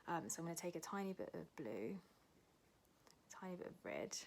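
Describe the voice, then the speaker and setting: Kiwi woman showing an art lesson, lowish voice, chatty with lots of ums, goes soft and quiet while drawing. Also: big pitch swings, fairly quick, vocal fry, a little hiss or hum. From New Zealand. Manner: casual, many fillers, talks while drawing, often drops to a soft low voice. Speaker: art tutor. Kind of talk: art demonstration tutorial.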